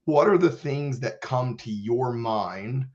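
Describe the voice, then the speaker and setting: A man with a hoarse voice, recovering from illness, earnestly presenting in a church webinar. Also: mid-range voice, animated, slow pace. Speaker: church outreach manager. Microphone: video call. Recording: dull lo-fi webinar audio.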